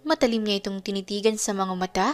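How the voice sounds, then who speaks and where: A woman's voice: mid-range voice, steady tone, fast, soft background music. A woman giving a dramatic scripted narration of a romance story.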